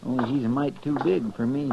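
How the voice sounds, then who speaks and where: An actor playing an eager, naive, good-hearted frontier sidekick in a 1950s radio western, with folksy drawling speech. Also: male voice, mid-range voice, fairly even intonation, brisk, creaky, lightly acted. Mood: sympathetic. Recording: dull, noisy old 1950s radio recording.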